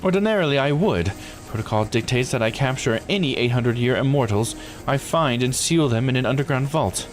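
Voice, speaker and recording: Man playing a composed, blunt law officer, animated delivery. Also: medium pitch, soft background music, natural voice. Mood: firm, explanatory. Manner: measured, formal, matter-of-fact explaining.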